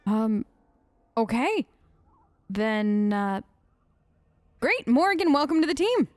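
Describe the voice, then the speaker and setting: A woman's voice: a little high, sing-song, takes it slowly, dry recording, clean studio sound. A woman gamer improvising her character's dialogue in a casual, friendly and slightly hesitant way. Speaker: gamer role-playing her character in a let's play.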